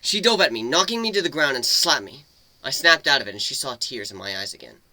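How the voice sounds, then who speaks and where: Bright, forceful man reading dramatically. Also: mid-range voice, lively intonation, fast, low-quality recording. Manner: intense, dramatic, swearing delivery. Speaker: amateur story narrator. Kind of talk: dramatic reading of fan fiction.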